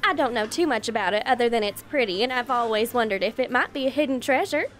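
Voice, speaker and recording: A woman's voice: on the high side, fast talker, a bit bright, soft background music, natural voice. A woman playing a sheepish, clueless antiques show guest in a comedy sketch.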